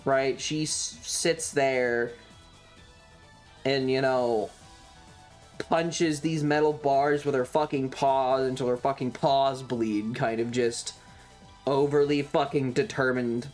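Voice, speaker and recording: A man's voice: mid-range voice, steady tone, takes it slowly. Sweary man rambling over music. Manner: sweary, exasperated, acts out reported dialogue. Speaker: streamer. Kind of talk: casual rambling storytelling on a livestream.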